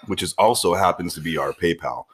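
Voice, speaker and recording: A man's voice: deep voice, fairly quick, fairly bright tone, husky. A man co-hosting a podcast in casual group chat, joking and often doubling back on his phrases. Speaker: podcast co-host.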